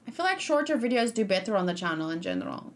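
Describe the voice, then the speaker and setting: A woman's voice: medium pitch, very animated, fast. Chatty young woman streamer. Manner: chatty, thinks aloud, indecisive, reads chat messages. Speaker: streamer reacting to game trailers. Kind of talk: casual live-stream reaction chat.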